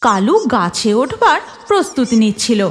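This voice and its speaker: Animated woman narrator, fairly high voice. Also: moderate pace, over music. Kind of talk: dramatic scripted horror story narration.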